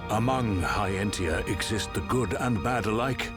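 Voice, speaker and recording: Low, stately older emperor played by an actor. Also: male voice, music in the background, lightly acted. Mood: stern. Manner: solemn, deliberate, authoritative. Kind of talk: scripted game cutscene acting.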